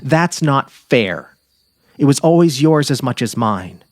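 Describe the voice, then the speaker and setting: A man's voice: medium pitch, lively intonation, slow, no room sound, studio quality, voice pushed up. A man voicing an anxious, pleading wife of a dying writer in a dramatic literary reading. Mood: hurt, upset. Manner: pleading, nervous, insistent.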